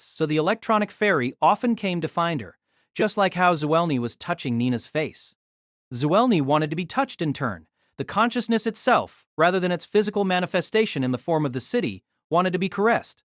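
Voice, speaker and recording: A woman's voice: on the low side, dry recording, studio quality. A woman narrating a scripted, dramatized story as its narrator.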